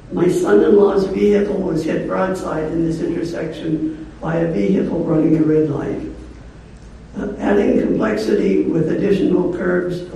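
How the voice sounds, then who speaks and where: An older woman with a hoarse voice, reading a measured, formal prepared statement as a resident at a public hearing. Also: fairly low pitch, dark tone. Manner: measured, formal, clears throat often. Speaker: resident giving public comment. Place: large public meeting hall. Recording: echoey hall, distant mic, faint noise.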